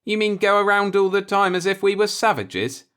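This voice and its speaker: A man's voice: high voice, animated, moderate pace, bright, forceful tone, smooth, pitched above the performer's own voice. A man voicing an earnest, law-abiding and easily alarmed man in a science-fiction audiobook, with an insistent manner. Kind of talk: audiobook character voice in a sci-fi story.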